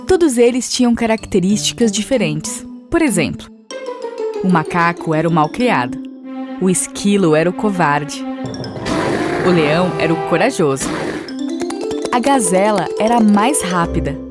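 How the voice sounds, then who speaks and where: A woman's voice: fairly low pitch, expressive, normal speed, long pauses. A woman with a Brazilian accent reading a scripted children's fable as a storyteller. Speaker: narrator. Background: instrumental music.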